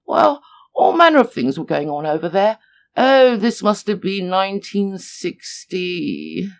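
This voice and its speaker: A woman's voice: mid-range voice, very animated, measured pace. A woman voicing an elderly, gossipy widow in a storybook-style horror tale, meandering and fond in her way of talking. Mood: gossipy, wistful. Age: older. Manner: meandering, hesitant, addresses listener fondly. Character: elderly gossipy widow neighbour, conspiratorial, doting. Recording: music under the voice.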